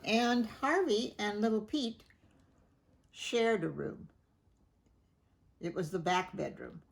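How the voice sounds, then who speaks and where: A woman reading a picture book aloud to children, gently chatting and stopping to ask the listener questions. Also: medium pitch, big pitch swings, slow pace, vocal fry. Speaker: storybook reader.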